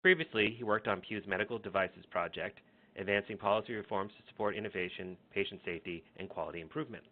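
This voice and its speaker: A man's voice: lowish voice, quick pace. A man moderating a webinar, reading a formal scripted introduction and announcements. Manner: reads announcements in a steady formal tone. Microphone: online webinar connection. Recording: phone-like webinar audio.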